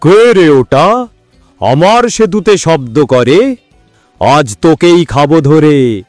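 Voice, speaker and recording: Slow, menacing ogre ghost. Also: male voice, a little high, lively intonation, a little mellow, no echo, faint music behind. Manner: gloating, threatening, drawn-out delivery. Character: hideous greedy ogre ghost lurking under a bridge, menacing. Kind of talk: children's cartoon villain acting.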